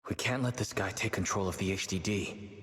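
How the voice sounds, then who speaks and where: An actor playing a calm, thoughtful young male operator in an anime-style game, measured and earnest, with a soft, slightly husky voice. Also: deep voice, dry recording, studio quality. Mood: serious, concerned.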